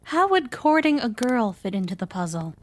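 A woman's voice: a little high, very animated, normal speed, slight background noise. A woman playing a sceptical, formal young princess in an audio drama, questioning a plan.

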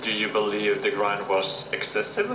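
A man's voice: fairly low pitch, little pitch movement, normal speed, lightly acted. An amateur actor playing a stern male interrogator in a game mod, asking formal, probing questions. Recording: echoey room, clear background machine noise.

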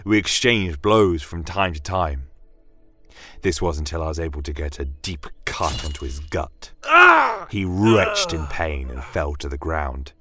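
Male storyteller, low register, deliberate pace, dramatic first-person fantasy narration. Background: fantasy ambient music.

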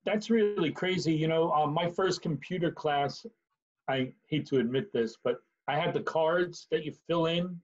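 A man in his fifties with a husky voice, chatting casually as an interviewer on a video call. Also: on the high side, normal speed. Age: 50s. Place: room at home, video call. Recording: slightly dull video-call audio.

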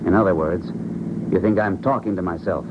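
A man's voice: deep voice, brisk, very noisy, close to an everyday voice. A man acting a strained, stubborn radio-drama scientist. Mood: defensive, heated. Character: strained, stubborn scientist haunted by his dead wife. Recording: dull 1970s radio recording, music behind.

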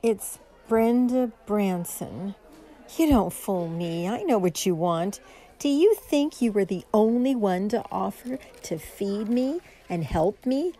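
A woman's voice: medium pitch, lively intonation, takes it slowly, mellow. A woman playing a guarded, blunt young homeless woman in a radio mystery drama. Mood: bitter. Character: wary, hardened young homeless woman, former addict.

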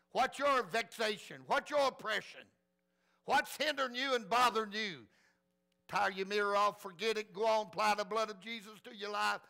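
High-pitched, gravelly preacher man. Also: pushed and bright. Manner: folksy, plain-spoken, repeats phrases for emphasis. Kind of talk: fervent sermon retelling Bible stories.

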